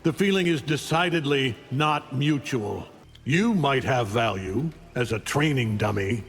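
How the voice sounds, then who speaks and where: A man's voice: medium pitch, animated, a little slow, long reverb tail. A man voicing an arrogant, menacing alien conqueror in a video game, with a contemptuous, commanding manner. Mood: dismissive. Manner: contemptuous, taunting, coldly commanding. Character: arrogant, menacing alien conqueror, a middle-aged superhuman father. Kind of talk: video game voice acting, fight intro taunts.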